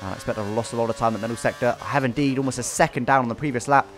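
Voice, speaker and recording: A man's voice: medium pitch, fairly even intonation, very fast, background noise. A man giving casual, explanatory commentary over his own racing game race, recapping as he goes.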